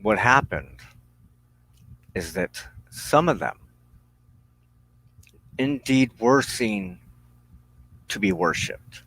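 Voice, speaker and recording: A man giving a spiritual teaching monologue as a broadcast host, solemn and deliberate, speaking in halting phrases with pauses. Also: lowish voice, very animated, fairly bright tone, poor audio. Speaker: religious teacher hosting a broadcast on witchcraft.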